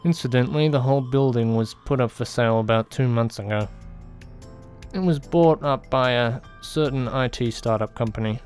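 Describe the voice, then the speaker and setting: A man voicing a cynical male information dealer, calm and cutting, in audiobook dialogue. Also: mid-range voice, normal speed, soft background music, natural voice.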